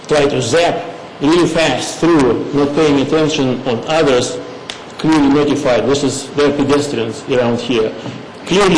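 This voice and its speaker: A middle-aged man speaking at public comment in a township meeting, halting and earnest, English. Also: a little high. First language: not English. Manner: halting, earnest, with frequent pauses and fillers. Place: large meeting hall. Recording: echoey hall recording, faint hum.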